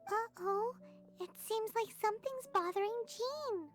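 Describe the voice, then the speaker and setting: A woman's voice: very high-pitched, unhurried. A woman voicing a tiny, childlike, chirpy and nosy fairy companion in an anime game dub. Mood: curious, surprised. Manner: speaks of self in third person, exclaims. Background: playful music.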